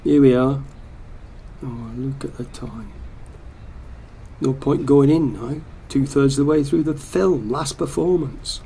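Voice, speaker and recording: A man's voice: mid-range voice, slow pace, vocal fry, mellow, a little hiss or hum. An actor playing a hesitant, lonely man muttering and deliberating to himself in a radio play. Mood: resigned.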